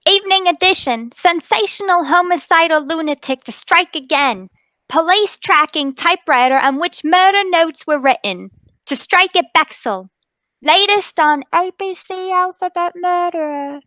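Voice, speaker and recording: Radio drama: sensational newspaper hawker woman acting, pitched up high, urgent, declamatory headline cries, dry sound. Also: lively intonation, normal speed, pushed and bright, no room sound, heavily acted. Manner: declamatory, punchy headline calls. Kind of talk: radio mystery drama table read.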